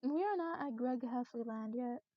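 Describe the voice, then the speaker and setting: A woman's voice: on the high side, animated, normal speed, no room sound, muffled recording. A young woman chatting casually while playing a game, heard through a poor-quality mic. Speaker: gamer playing and reacting. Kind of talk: casual chat while gaming. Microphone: low-quality gaming voice chat.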